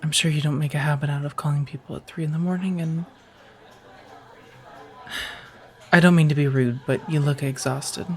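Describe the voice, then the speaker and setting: A woman's voice: sits low, fairly even intonation, normal speed, pauses often, natural voice. A woman playing a friendly, teasing firefighter on a date in an intimate, casual ASMR romance roleplay, chatty and reassuring. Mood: sympathetic. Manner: chatty, playfully wry, reassuring, sprinkled with soft laughs.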